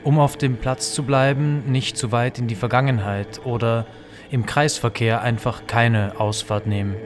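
A man performing a scripted audio drama, reciting short phrases deliberately like a guide. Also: medium pitch, fairly even intonation, over music, natural voice. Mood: guiding.

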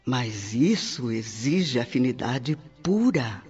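A man's voice: mid-range voice, very animated, slow pace, darkish tone, breathy edge, heavily acted. A male actor with a Brazilian accent playing an earnest spirit mentor in a radio drama, lecturing in an emphatic, oratorical, didactic way. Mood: didactic, fervent. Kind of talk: theatrical Portuguese radio drama monologue. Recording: slightly dull radio production with music. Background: music.

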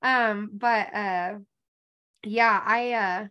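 A woman's voice: mid-range voice, fairly even intonation, extremely slow, bright, forceful tone, clean tone, dull audio. A woman in her thirties chatting casually as an interviewer, informal, agreeable and rambling. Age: 30s. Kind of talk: casual chat.

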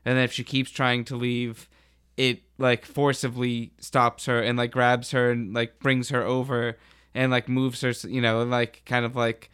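A man's voice: medium pitch, little pitch movement, moderate pace, fairly bright tone, smooth, no room sound, clean studio sound. A man on a podcast panel talking casually about films in loose, informal phrasing. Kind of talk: casual film discussion.

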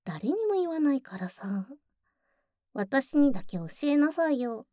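Tsundere childhood friend female actor: young, very high voice, curt, teasing, secretly soft, dry studio sound. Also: very animated, measured pace, no echo. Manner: brusque, teasing, flustered. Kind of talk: ASMR situation voice drama acting.

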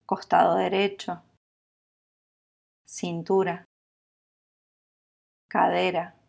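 A woman with a Latin American Spanish accent guiding a sleep meditation in a calm, soothing, unhurried manner, leaving long pauses. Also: on the low side, vocal fry. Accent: Latin American Spanish with voseo. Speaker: yoga nidra meditation guide.

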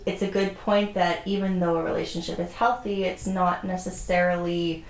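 A woman co-hosting a podcast, chiming in with warm, affirming remarks in a casual chat. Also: on the low side, level tone, moderate pace, reverberant, rough recording. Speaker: podcast co-host.

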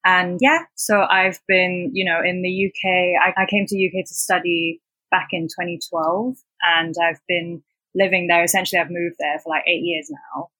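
A young woman from Hong Kong whose first language is Cantonese, talking as a podcast guest. Her voice is bright with a slightly husky edge, and it sounds dry and close. She chats loosely, leaning on "like" as a filler and laughing softly between points. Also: fairly low pitch, little pitch movement, normal speed, no echo, low-quality recording. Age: 20s. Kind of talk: casual conversational interview.

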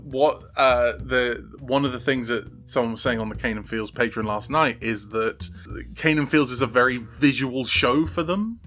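A man talks as a guest in a relaxed interview. His pitch is average, and his delivery is halting, with repeated words as he explains his creative work. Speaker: audio drama sound designer, podcast guest. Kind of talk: casual interview chat.

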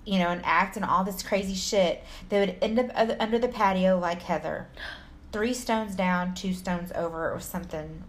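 A woman from Texas with an American accent, co-hosting a podcast, reading a story in an informal way with casual asides and stumbles. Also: mid-range voice. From Texas, United States. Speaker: true crime podcast co-host.

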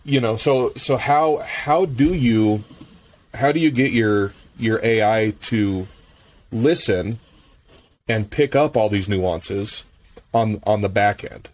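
A man's voice: on the low side, takes it slowly. A man with an American Midwestern accent hosting a podcast interview, asking questions hesitantly and repeating words. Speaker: podcast host.

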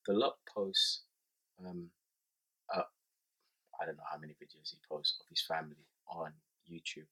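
A man's voice: low voice, steady tone, moderate pace, sharp tone, husky, rough recording. A man co-hosting a podcast in casual chat, teasing and pressing his partner with questions, English not his first language. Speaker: podcast co-host.

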